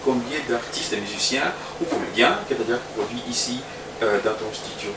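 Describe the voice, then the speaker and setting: A man hosting a web TV interview, addressing viewers formally and filling pauses with hesitations. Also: mid-range voice. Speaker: interview host. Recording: roomy sound from a distance with background noise.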